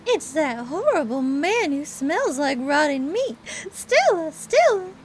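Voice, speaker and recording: A woman voicing an excitable, theatrical female pet dog in a game, in a shrill, alarmed way. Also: slow, a little hiss or hum, full character voice.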